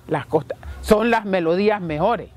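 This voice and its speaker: A man, explaining marimba making slowly and repetitively in a documentary interview. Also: high voice. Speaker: marimba maker.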